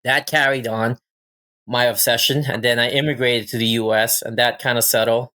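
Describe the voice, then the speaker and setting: A man's voice: mid-range voice, fairly even intonation, normal speed, pushed and bright. A man from Thailand chatting casually as a podcast guest, telling personal stories as a falconer. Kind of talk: casual personal storytelling and chat.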